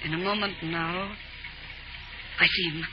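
A woman's voice: lowish voice, fairly even intonation, a little slow, lightly acted. A woman playing a haughty, theatrical fortune teller, solemn and incantatory, in 1940s radio acting. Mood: eerie incantation. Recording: muffled radio broadcast, loud music, sizzling hiss.

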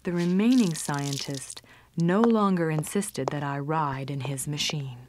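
An actress playing a prim, argumentative woman who tells her own story in wry, measured cartoon narration. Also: deep voice, background noise. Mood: reflective. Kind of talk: scripted first-person cartoon narration. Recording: music under the voice.

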